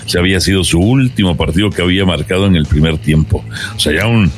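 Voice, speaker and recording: Man, low-pitched voice, sports talk host, colloquial, football panel, faint background music. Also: quick pace. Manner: informal, colloquial.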